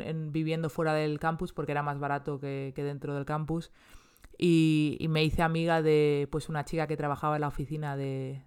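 Spanish woman chatting in an interview, flat even tone, smooth clean voice, lots of restarts. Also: low-pitched. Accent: Spain Spanish. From Spain. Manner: chatty, many restarts and fillers. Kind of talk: casual interview.

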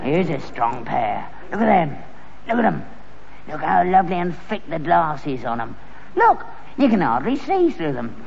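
A man's voice: fairly high pitch, huge pitch swings, moderate pace, vocal fry, a little mellow, full character voice. An actor playing a crafty, stubborn old working-class London father, rambling and scoffing in a Cockney accent in a vintage British sitcom. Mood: defensive. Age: older. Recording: dull, noisy, reverberant archive sitcom recording.

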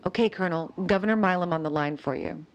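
Woman playing a lowish-voiced dutiful military aide. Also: quick pace, dull audio, natural voice. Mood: wry, cautious. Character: dutiful, cautious female military aide to a colonel. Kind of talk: radio drama acting.

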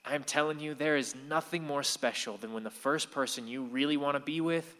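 An actor playing a gentle, earnest, watchful grandson in a radio play. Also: male voice, a little high, brisk, close to an everyday voice. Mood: ardent. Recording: long hall-like reverb.

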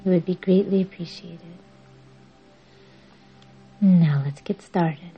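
A woman guiding a yoga nidra meditation in a measured, soothing, instructing way with long pauses. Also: fairly low pitch, breathy edge. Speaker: meditation podcast host and guide. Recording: dull lo-fi audio under music. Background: music.

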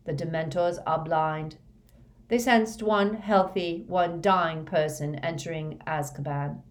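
A woman voicing a dazed younger male villain in an audiobook, confessing in a flat, expressionless way. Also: lowish voice, unhurried, lightly acted. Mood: dazed, emotionless. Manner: flat, expressionless, drugged monotone. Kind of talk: audiobook character voice.

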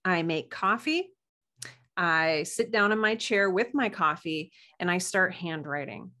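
A woman's voice: fairly low pitch, animated, measured pace, sharp tone. A woman chatting casually as a podcast guest, friendly and encouraging.

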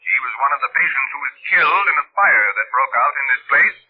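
An actor playing a calm night supervisor doctor, measured and matter-of-fact, through a telephone filter in 1940s radio drama style. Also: male voice, mid-range voice, slightly rough. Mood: grave, measured. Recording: tinny phone line, old radio.

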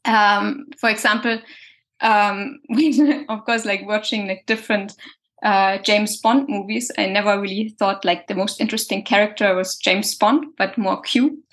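A woman's voice: medium pitch, steady tone, a little slow. A woman, answering interview questions in a hesitant way with many fillers and restarts. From Germany. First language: German. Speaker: guest scientist.

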